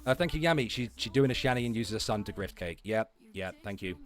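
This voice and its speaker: A man's voice: lowish voice, lively intonation, quick pace, soft background music. A man hosting a casual livestream, giving profane, irreverent running commentary and rants. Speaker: livestream host.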